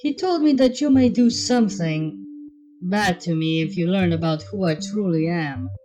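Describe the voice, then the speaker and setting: A woman's voice: fairly low pitch, expressive, moderate pace, dark tone, close to an everyday voice. A woman voicing a guarded, modest unicorn mare in a story reading, with a dry, understated delivery. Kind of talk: fan fiction character reading. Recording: dull, muffled sound under music.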